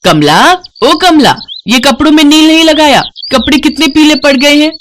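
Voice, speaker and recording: A woman's voice: high voice, animated, moderate pace, a bit bright, slight background noise, voice pushed up. Female performer as older mother-in-law, rural, bossy, scolding, suspicious, blunt, moral story cartoon. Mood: nagging.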